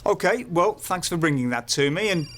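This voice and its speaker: An actor playing a busy, harried maintenance supervisor, brisk and managerial, in a naturalistic training film drama. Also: male voice, sits high, expressive, fairly bright tone, a little hiss or hum. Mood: distracted.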